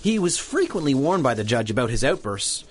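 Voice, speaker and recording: A man's voice: medium pitch, a little fast, lightly acted. A man acts out a mild, flustered reporter in a 1930s radio serial. His mid-range voice rises and falls a great deal as he hesitates and stumbles earnestly. There is faint noise behind him. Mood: earnest, excited. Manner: hesitant, stammering, animated.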